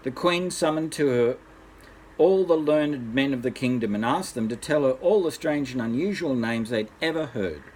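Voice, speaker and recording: A man's voice: mid-range voice, a little hiss or hum, low-quality recording. An older man, a grandfather, reads a bedtime story aloud expressively, addressing small children.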